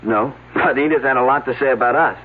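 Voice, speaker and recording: A man's voice: mid-range voice, fast talker, lightly acted. An actor playing an earnest young man working at a dig, who stammers when flustered. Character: earnest young male dig worker, eager and a bit flustered. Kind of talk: 1970s radio drama acting. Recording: tinny old radio broadcast.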